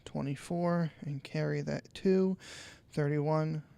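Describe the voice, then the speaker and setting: A man's voice: a little high, steady tone, slow pace, creaky, dark tone, clear, resonant tone, dry recording. Nervous male clerk, deferential, hesitant radio-play delivery, very dry sound. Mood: meek, nervous. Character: meek, apologetic male clerk, nervous before his boss. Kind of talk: old-time radio drama acting.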